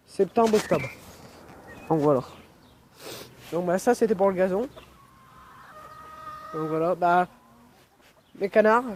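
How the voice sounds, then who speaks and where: A man's voice: high-pitched, dark tone, a little hiss or hum. A young man with a cold, rambling with lots of fillers as he films his yard outdoors on a phone. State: has a cold. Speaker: vlogger. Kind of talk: casual outdoor vlog. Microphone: phone camera.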